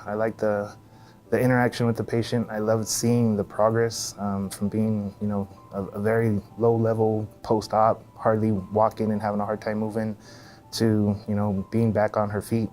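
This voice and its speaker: A man's voice: on the low side, a little mellow. A middle-aged man from Texas with an American accent, giving casual interview answers as a physical therapist. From El Paso, Texas, USA.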